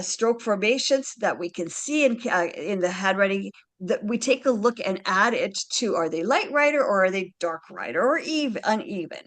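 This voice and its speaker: A woman, a Slovene speaker, presenting handwriting analysis to a group over a video call in a chatty, explanatory way, often checking in with "right?" and "alrighty". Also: mid-range voice.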